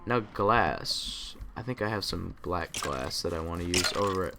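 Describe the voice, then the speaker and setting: A young man hosting a gaming channel, tired and a bit raspy, rambling casually to himself as he plays. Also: sits low, animated, measured pace. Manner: rambling, talks to himself while playing. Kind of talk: casual gameplay commentary. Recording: clear typing noise behind. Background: keyboard typing and clicks.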